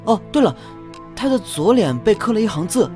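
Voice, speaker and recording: A woman's voice: medium pitch, big pitch swings, moderate pace, darkish tone, natural voice. Woman doing nervous eager young guy trainee, anime style, stammery, music going under. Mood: flustered. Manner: flustered, earnest, stammering. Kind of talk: Chinese animated drama voice acting.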